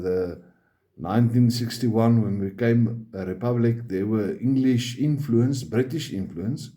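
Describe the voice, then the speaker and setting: Afrikaans guy vlogging to his viewers, slow and halting, mellow voice. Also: on the low side. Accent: Afrikaans South African. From South Africa. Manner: halting and emphatic, repeats words. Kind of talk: personal monologue to viewers.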